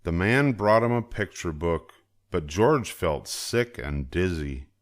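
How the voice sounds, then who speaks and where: Older male storybook narrator, very low voice, expressive read-aloud for young children. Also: slow. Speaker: storybook reader.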